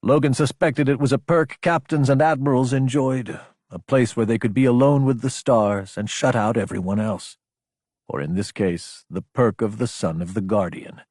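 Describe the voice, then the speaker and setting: A man's voice: mid-range voice, steady tone, moderate pace, darkish tone, no room sound, studio quality. A man reading scripted fiction as an audiobook narrator, in a dramatic storytelling style.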